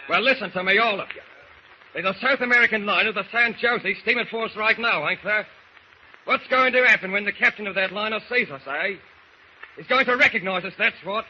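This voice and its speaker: A man's voice: high-pitched, brisk, close to an everyday voice. A man playing a scheming, hectoring Cockney sailor stirring up mutiny, in 1940s radio acting style. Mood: menacing, agitated. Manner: hectoring, tag questions. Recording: muffled old radio recording with faint noise.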